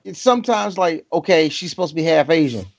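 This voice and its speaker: A man co-hosting a podcast in casual movie-review banter, animated and profane, often laughing and dropping into mock voices. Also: on the high side, fast talker, gravelly, lo-fi sound. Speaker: podcast co-host.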